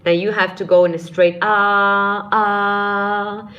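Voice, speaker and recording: A woman's voice: mid-range voice, monotone, takes it slowly, lo-fi sound. A woman vocal coach giving a live-streamed singing lesson, explaining technique, answering viewer questions and demonstrating sounds herself. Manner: explanatory, demonstrates sounds and short sung phrases while teaching; addresses viewers warmly as friends and dears.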